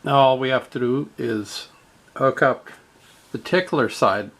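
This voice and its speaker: A man narrating a step-by-step radio repair in a halting way, with many fillers. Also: mid-range voice, fairly bright tone.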